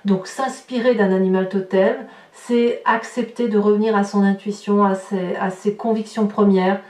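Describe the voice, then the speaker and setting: A woman giving an informal tarot reading to viewers, in a halting way with repeated words and little tag questions. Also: mid-range voice, fairly even intonation, a little mellow. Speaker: tarot reader presenting horoscope readings. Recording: roomy echo, distant mic, faint noise.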